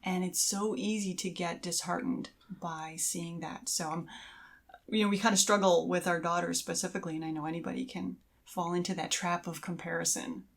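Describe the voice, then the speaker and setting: A woman's voice: lowish voice, fairly even intonation, moderate pace, vocal fry. A middle-aged woman chatting as a podcast co-host, agreeably explaining and giving casual advice. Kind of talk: casual conversational advice on creativity.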